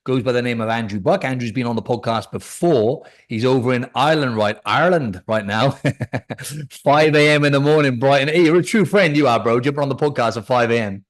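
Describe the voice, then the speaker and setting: Fast-talking Welsh man with an Aussie twang. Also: mid-range voice. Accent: Welsh, with some Australian twang. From Wales. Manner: upbeat, chummy, calls his guest bro and mate. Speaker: podcast host. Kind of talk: casual chat.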